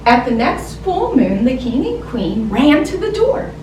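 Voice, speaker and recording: A woman's voice: high-pitched, sing-song, unhurried, darkish tone. A woman storyteller reading a picture book to young children in a sing-song, child-directed way. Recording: roomy, distant mic, faint noise.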